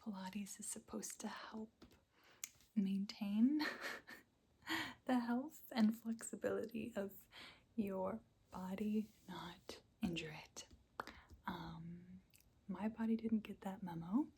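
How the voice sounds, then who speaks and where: Woman ASMR host for a casual update chat, whispered throughout and very breathy. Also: medium pitch, takes it slowly, long pauses. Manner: soft unvoiced whisper with small quiet laughs.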